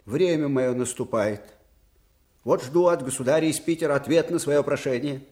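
An actor playing an aged, melancholy and eccentric Russian field marshal in a radio drama, speaking in halting, broken phrases. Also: male voice, medium pitch, darkish tone, lightly acted. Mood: resigned, solemn. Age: older. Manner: brooding, halting, broken phrases with sudden outbursts. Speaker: actor in the lead part. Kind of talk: Soviet radio drama acting.

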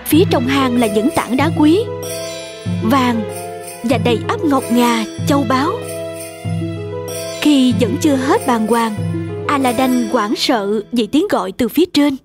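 A woman's voice: high-pitched, measured pace, pauses often, music in the background. A woman storyteller reading a scripted fairy tale for children.

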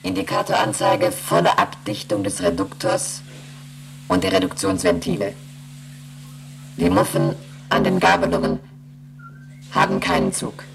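An actress playing a female ship's computer in a German radio play, reciting emergency readings in a clipped, procedural monotone. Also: very deep voice, a little slow, gravelly, long pauses, slight background noise, exaggerated voice, rough recording. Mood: impassive, procedural.

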